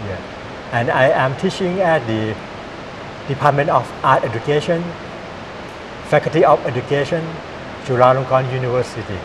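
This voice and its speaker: A man with a Thai accent, an art lecturer answering interview questions in English, pausing often with "uh" and "yeah". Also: medium pitch. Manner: halting, many "uh" pauses and "yeah" fillers. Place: exhibition hall. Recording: noisy hiss in background.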